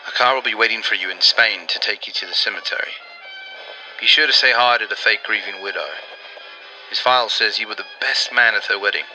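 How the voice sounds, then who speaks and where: An actor playing an earnest, persuasive Allied intelligence operative in a 1940s-style radio drama, explaining things deliberately. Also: male voice, on the low side, sharp tone, faint music behind, poor audio. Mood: businesslike, urgent.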